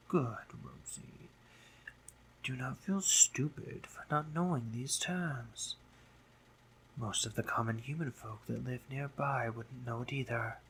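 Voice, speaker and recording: A man playing a dominant, playful spider monster boy in a romantic roleplay audio drama, teasing and doting in deliberate phrases with breathy murmurs. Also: mid-range voice, pushed and bright, a little hiss or hum, low-quality recording. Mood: doting, instructive. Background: ambient sound effects.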